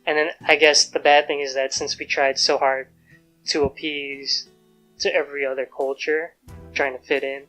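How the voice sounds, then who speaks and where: A man's voice: fairly high pitch, little pitch movement, normal speed, pushed and bright, soft background music, poor audio. A man reflecting and explaining his experiences in a personal interview.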